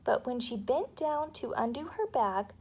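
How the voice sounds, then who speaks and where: Slow, creaky woman reading a storybook. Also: a little high. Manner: expressive, dramatic. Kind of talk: read-aloud children's story narration.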